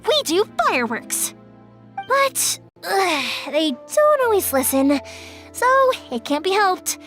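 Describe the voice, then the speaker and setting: A woman's voice: very high-pitched, sing-song, takes it slowly, fairly bright tone, faint music behind, exaggerated voice. A young woman playing a cheerful, talkative fireworks-shop owner in a video game. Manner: bubbly, talkative.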